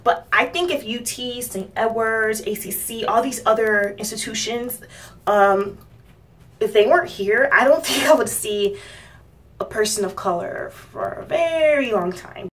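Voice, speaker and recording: A young woman from Miami talking casually to her channel's viewers, rambling with fillers and soft laughs. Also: on the high side, a little slow, distant mic. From Miami, United States. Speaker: vlogger. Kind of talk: casual talk to camera.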